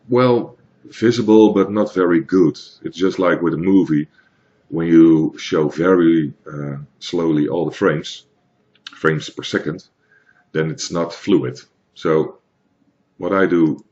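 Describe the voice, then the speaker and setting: Very deep-voiced Dutch man explaining. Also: darkish tone, dull audio. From Netherlands. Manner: hesitant, many fillers and restarts, plain explanatory. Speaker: tutorial maker. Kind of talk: screen-recorded software tutorial.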